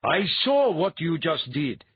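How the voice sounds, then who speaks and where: A man's voice: mid-range voice, expressive, moderate pace, slightly rough, no room sound, narrow, tinny audio, pitched above the performer's own voice. A man voicing a self-righteous, indignant young priest, pompous and accusing, in an audiobook story.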